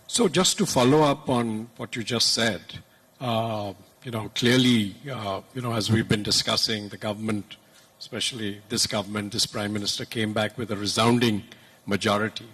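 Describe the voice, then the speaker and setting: A man's voice: lowish voice, steady tone, normal speed, a bit bright, husky, rough recording. A man moderating an interview, putting a follow-up question in a hesitant, roundabout way.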